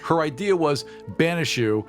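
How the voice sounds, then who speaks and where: A man's voice: on the high side, lively intonation, moderate pace. Male tabletop player over a music bed. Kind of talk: casual game narration and play.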